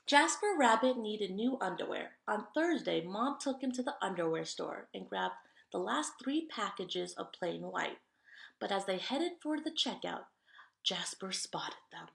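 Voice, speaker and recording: Expressive woman reading to children, roomy sound. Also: mid-range voice, measured pace, creaky. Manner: expressive, talks directly to young listeners. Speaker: read-aloud storyteller. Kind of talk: animated children's picture-book read-aloud with chatty asides.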